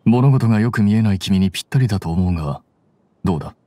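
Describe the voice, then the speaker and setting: An actor playing a cool, aloof young scholar in an anime game, blunt and detached in a measured way. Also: male voice, on the low side, expressive, lightly acted. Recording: soft music behind.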